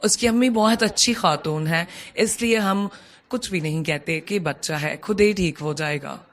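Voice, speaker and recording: A woman's voice: lowish voice, normal speed, close to an everyday voice. Coaxing motherly auntie, acted by a woman. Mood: resigned. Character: evasive, hospitable mother, a chatty auntie. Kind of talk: cartoon story voice acting.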